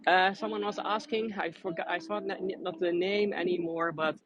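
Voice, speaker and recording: A man's voice: high voice, fairly even intonation, moderate pace, highs cut off, noisy background. A man with a Dutch accent, whose first language is Dutch, guiding a live walking tour outdoors on busy city streets, chatty and friendly with many fillers and restarts. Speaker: tour guide.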